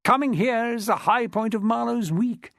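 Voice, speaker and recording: A man plays a brash, posh eccentric from a storybook, lively and high in pitch, needling everyone. The sound is dry and close. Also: normal speed, vocal fry, no echo, heavily acted.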